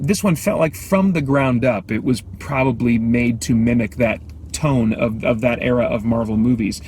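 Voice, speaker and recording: A man giving a casual, off-the-cuff film review, thinking aloud in a halting, measured way. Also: mid-range voice, slight background noise. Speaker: movie review host.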